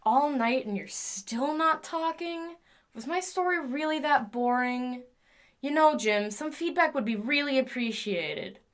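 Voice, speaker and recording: Woman acting an excitable, high-voiced scheming lady inventor. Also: a little slow. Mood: chatty, exasperated. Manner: theatrical, talking to herself, swinging between moods. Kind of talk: audio drama monologue.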